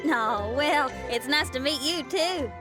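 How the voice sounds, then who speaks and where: A friendly young rocker girl, high lively voice, casual, faint music. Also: slow. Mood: friendly, unsure. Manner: casual, earnest. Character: friendly, upbeat young female rock musician. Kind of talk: anime game cutscene acting.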